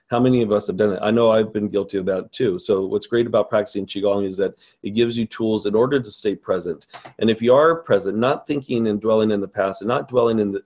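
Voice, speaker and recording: Male qigong teacher, earnest rambling webinar talk, lowish voice, lo-fi recording. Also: darkish tone. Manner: earnest, rambling, with many fillers and restarts. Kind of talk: webinar presentation over slides. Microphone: voice-over on slides. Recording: dull lo-fi webinar audio.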